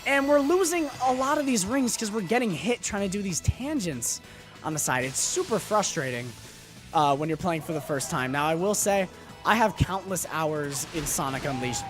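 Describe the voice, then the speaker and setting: A man doing live gameplay commentary while he is losing his voice, talking upbeat and rambling with lots of fillers and restarts. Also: fairly high pitch. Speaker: game streamer. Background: loud game music.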